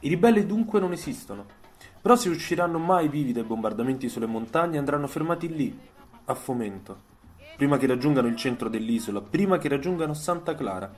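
A man narrating a scripted story for radio, in measured phrases with dramatic pauses. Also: mid-range voice, expressive. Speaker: storyteller and author. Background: soundtrack music.

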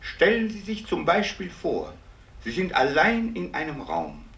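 An actor playing a lecturer on the radio, speaking in a measured, theatrical way in a 1950s radio drama. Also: male voice, on the high side, wildly swooping pitch, creaky, a bit bright, exaggerated voice. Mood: ominous, instructive. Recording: echoey, distant radio broadcast.

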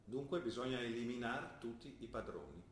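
A man's voice: mid-range voice, animated, a little fast, recorded from a distance, slight background noise, lightly acted. An actor playing a skeptical older father, a plain-spoken shopkeeper questioning his daughter. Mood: challenging. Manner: earnest, probing, plain-spoken. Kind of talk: stage play acting. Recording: roomy stage recording, voice from afar.

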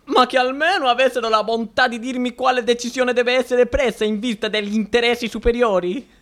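A man's voice: very high voice, steady tone, fairly quick, a bit bright. An actor playing an anxious, self-pitying, cowardly male judge in a radio drama, rambling in agitation with questioning interjections. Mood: anxious, desperate. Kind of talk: stage-style radio drama acting.

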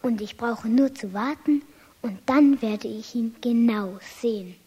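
Casting: small girl, high voice, affectionate, eager and headstrong, children's radio play. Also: measured pace, dark tone, breathy edge, muffled recording. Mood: hushed, coaxing. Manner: pleading, childlike.

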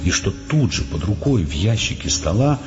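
A man narrating a literary audio play in Russian with measured, suspenseful storytelling. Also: deep voice, big pitch swings. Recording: muffled studio track with music behind. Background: prominent music.

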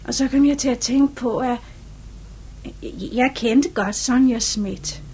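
A woman's voice: a little high, darkish tone, a little hiss or hum, natural voice. An actress playing an earnest young bakery shopgirl as a witness in a 1950s radio drama, speaking politely and hesitantly.

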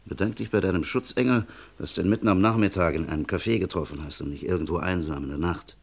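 Low-voiced, insistent, level-headed friend. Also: male voice, quick pace, gravelly. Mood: urgent, persuasive. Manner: firm, reasoning, emphatic. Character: level-headed, insistent male friend giving stern advice. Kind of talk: vintage German radio crime play acting.

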